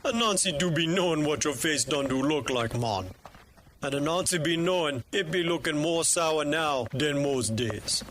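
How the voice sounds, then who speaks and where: A man's voice: on the high side, lively intonation, moderate pace, a crowd in the background, natural voice. A man playing a cheerful, teasing troll shaman with a mock Caribbean accent in a fantasy audio drama.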